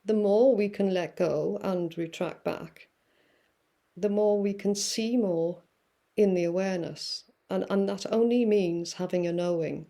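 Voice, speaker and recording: A woman guest musing through spiritual ideas, with many fillers and pauses. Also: mid-range voice, vocal fry, warm tone. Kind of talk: reflective spiritual talk.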